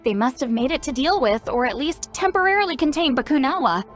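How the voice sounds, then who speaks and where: High-voiced, teasing game granny figure. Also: female voice, animated, a little fast. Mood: concerned, thoughtful. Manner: playful, knowing, refers to self as granny. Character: spry self-styled granny, youthful-sounding, teasing, caring, shrewd. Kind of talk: scripted video game dialogue. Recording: game music behind. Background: game music.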